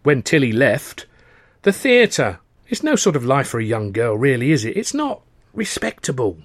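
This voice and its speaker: Man acting a fussy, high-pitched little old Englishman. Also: big pitch swings, normal speed, no room sound. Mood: hesitant, regretful. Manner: eager, anxious, chattering.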